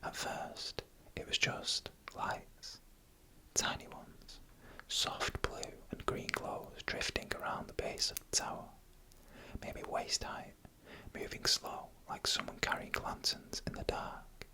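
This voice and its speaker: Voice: slow, sharp tone, rough recording. Whispered ASMR storyteller, entirely unvoiced. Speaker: narrator.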